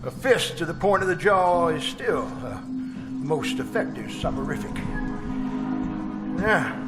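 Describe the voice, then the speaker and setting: A creepy radio-horror householder with a high voice that leaps around. He is nervously polite, repeats himself and oozes sly, murderous glee. Loud music plays behind the live performance. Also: lively intonation, slow. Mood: gloating, murderous. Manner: stammering, repetitive, chuckling oily politeness. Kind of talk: old-time radio horror acting.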